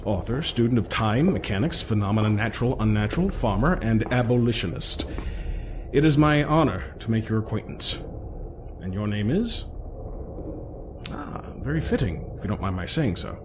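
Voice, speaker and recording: A man's voice: on the low side, background noise, lightly acted. A man playing a witty, fussy, eccentric scientist-adventurer in an audio drama, chatty and theatrical with mock-formal asides. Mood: formal, courteous.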